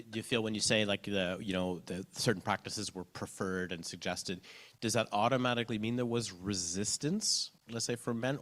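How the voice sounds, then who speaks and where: Guy moderating a panel, lowish voice, asks curious questions. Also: fairly bright tone.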